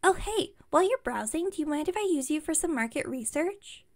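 A woman's voice: sits high, expressive, brisk. A woman playing an enthusiastic, slightly incompetent witch shopkeeper in an audio roleplay, rambling on in a friendly, bubbly way. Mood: upbeat, friendly. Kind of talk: ASMR roleplay audio, one-sided chat with listener.

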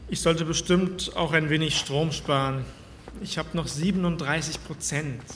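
Man acting high-voiced trapped man, echoing cellar. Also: unhurried, a bit bright. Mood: uneasy, weary. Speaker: voice actor. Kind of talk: German horror audio drama acting. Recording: echoey hall reverb, faint background noise.

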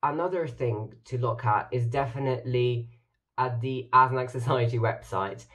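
A man's voice: medium pitch, moderate pace, fairly bright tone. A young man from Ireland, a native Irish speaker, giving casual advice to camera as a student in his bedroom. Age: young adult. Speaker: student society officer. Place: bedroom with bookshelves, indoors.

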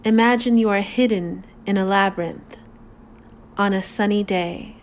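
A woman narrating a guided meditation in a calm, deliberate, soothing manner with long pauses. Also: medium pitch, slight background noise.

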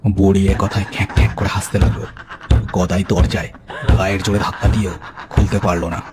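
A man's voice: sits low, darkish tone, a little hiss or hum. A man narrating a scripted ghost story for a cartoon as its storyteller. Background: music.

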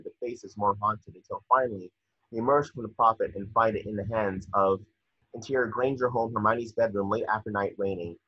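Low, raspy man narrating a screenplay. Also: fairly bright tone, no room sound. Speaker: stage directions reader. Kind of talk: scripted narration of screenplay directions. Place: indoor room at home, on a video call. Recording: dull, dry video-call audio.

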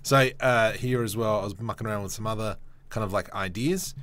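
A young man hosting a casual software tutorial, chatty and self-mocking as he thinks aloud while he works at a desk mic. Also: on the low side, a little fast. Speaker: 3D modeling tutorial host.

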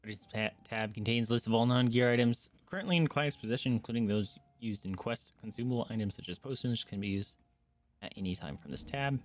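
A man's voice: medium pitch, a little fast. A man giving casual gameplay commentary as a streamer, muttering to himself as he reads on-screen text aloud. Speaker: streamer playing a video game. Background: video game music.

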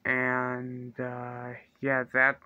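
A young man casually reviewing a toy figure in his bedroom, talking slowly and haltingly with fillers and restarts, close to a phone or webcam. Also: mid-range voice, monotone, pushed and bright, dry recording, lo-fi sound. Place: small bedroom indoors. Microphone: phone or webcam close to the face.

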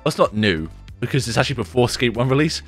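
Chatty gamer guy, a bit hoarse. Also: mid-range voice, animated, quick pace, faint music behind. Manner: chatty, rambling, says "like" and "dude" a lot. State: deeper voice after a sore throat. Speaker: gaming YouTuber. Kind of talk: casual gameplay commentary. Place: bedroom at home, indoors.

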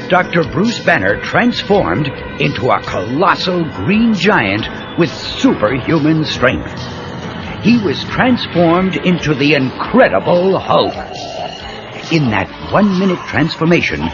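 A man's voice: fairly high pitch, very animated, unhurried. A man narrating a children's adventure story in a dramatic, emphatic scripted style. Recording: muffled cassette with prominent music.

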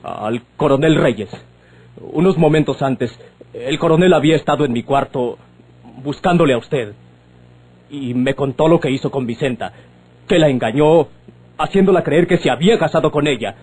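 An actor playing a defiant, indignant young son in an old radio drama, speaking formally and declamatorily. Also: male voice, on the high side, moderate pace, darkish tone, husky. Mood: grave, defiant. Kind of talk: old Mexican radionovela acting.

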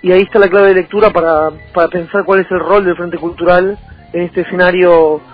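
A young man with an Argentine Rioplatense accent giving a political radio interview, halting and repeating himself as he rephrases. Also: sits high, level tone, slightly rough. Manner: halting, repetitive, circling back to rephrase. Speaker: interview guest, local political candidate. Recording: thin phone-like line, music behind.

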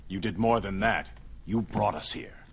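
A man's voice: on the low side, a little slow, creaky, a little hiss or hum. A man playing a proud, commanding undersea warrior king in a video game cutscene, speaking tersely and imperiously.

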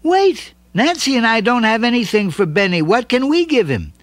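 A man doing a lively, bossy young boy. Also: extremely high pitch, no echo, pitched above the performer's own voice. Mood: eager. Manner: quick, animated planning.

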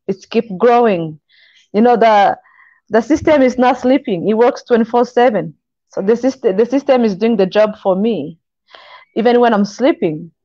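Mellow-voiced woman, earnest. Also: a little high, dry recording. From Congo. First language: not English. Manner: earnest, encouraging, halting phrasing. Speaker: guest. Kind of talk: video call interview. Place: kitchen indoors. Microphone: video call. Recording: dull, lo-fi call audio.

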